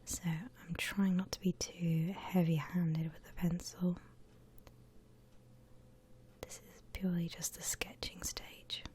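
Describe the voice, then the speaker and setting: Softly whispering woman, slow and hushed. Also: lowish voice, level tone. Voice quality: breathy, unvoiced whisper. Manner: gentle, unhurried step-by-step explaining. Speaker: host of an art ASMR channel. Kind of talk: whispered ASMR drawing tutorial.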